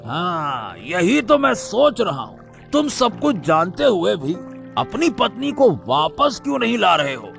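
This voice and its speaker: A man voicing a suspicious, threatening wrestler in a cartoon story, with a taunting, drawn-out, insinuating delivery. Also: high-pitched, wildly swooping pitch, gravelly, fairly bright tone, faint music behind, exaggerated voice. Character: suspicious, threatening male wrestler interrogating a boatman. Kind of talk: Hindi moral-story cartoon dubbing.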